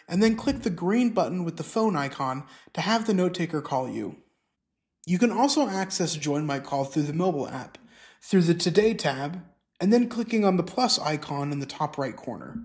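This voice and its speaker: A middle-aged man presenting scripted instructional narration, explaining clearly step by step. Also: a little high. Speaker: software tutorial presenter.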